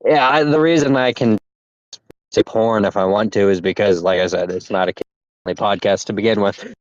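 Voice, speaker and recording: A man's voice: on the low side, dry recording. Male podcast co-host, casual chat, stop-start delivery, dry close sound, slightly dull audio. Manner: halting, many fillers and restarts.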